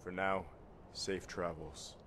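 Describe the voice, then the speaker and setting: A man's voice: deep voice, flat delivery, takes it slowly, very noisy. A man playing a grave, honour-bound warrior in a fantasy video game, speaking solemnly and formally. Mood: grieving, solemn. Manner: solemn, formal, archaic phrasing.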